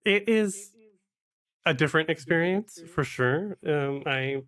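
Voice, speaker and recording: A man's voice: on the high side, takes it slowly, a bit bright. A man speaking as a podcast guest in a casual conversation, with hesitant, reflective phrasing and repeated words. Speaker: podcast guest, actual play streamer and producer.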